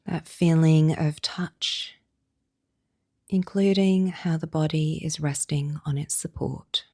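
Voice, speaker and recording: Woman, meditation guide, low even tone, soft breathy delivery, soothing, unhurried pauses. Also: dry recording, clean studio sound. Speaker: yoga nidra guide.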